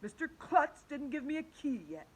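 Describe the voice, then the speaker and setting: A woman reading an audiobook voices a jolly male teacher who claims to be a superhero, speaking slowly and theatrically. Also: sing-song. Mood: cheerful. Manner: slow, booming and theatrical. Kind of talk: audiobook character voice.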